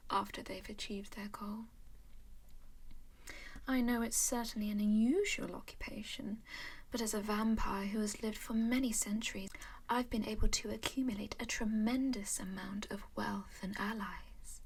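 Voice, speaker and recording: A centuries-old vampire lady speaks in a breathy whisper, soft and doting, never rushing. She is genteel and possessive, calmly scheming as she fusses over her charge, as a woman acts her out in an ASMR roleplay. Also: mid-range voice. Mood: matter-of-fact.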